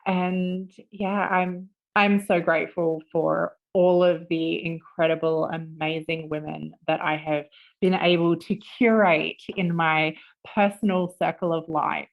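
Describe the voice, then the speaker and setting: A woman in her forties, a podcast guest, chatting casually with lively laughter and the odd swear word. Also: low voice, unhurried, creaky, phone-quality sound. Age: 40s. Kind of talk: casual interview chat.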